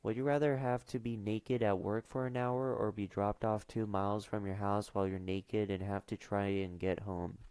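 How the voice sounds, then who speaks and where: A man's voice: fairly low pitch, fairly even intonation, moderate pace, few pauses, a little mellow, smooth, no room sound. A teenage boy streaming casually, mumbling and trailing off with fillers as he reads questions aloud. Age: teens. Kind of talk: casual livestream chat.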